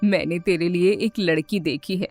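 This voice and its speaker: A woman voicing a gentle, concerned middle-aged mother in an animated story, speaking softly. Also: on the low side, moderate pace, soft background music, pitched below the performer's own voice, close to an everyday voice. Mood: worried. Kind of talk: animated moral story dialogue.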